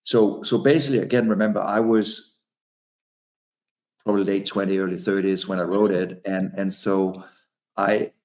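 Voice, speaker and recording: A man's voice: sits low, fairly even intonation, normal speed, pauses often, darkish tone, low-quality recording. A man in his forties, a native Danish speaker, talking about his book in a hesitant, restarting way. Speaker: author and speaker. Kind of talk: casual conversation.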